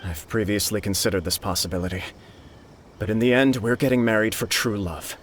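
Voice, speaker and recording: A devoted young nobleman, played by a man in a game cutscene, low-pitched and sincere. Gentle and earnest throughout. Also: fairly even intonation, fairly quick, a little hiss or hum, close to an everyday voice. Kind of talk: video game cutscene dialogue.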